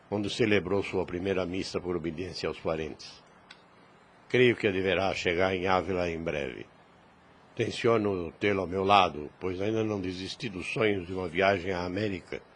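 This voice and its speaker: Man acting as a deep-voiced, solemn older priest. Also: lightly acted. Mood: grave, reflective. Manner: solemn, measured, formal. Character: grave, kindly older priest uncle, fatherly and concerned. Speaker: voice actor. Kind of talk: audio drama acting. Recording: clear background noise.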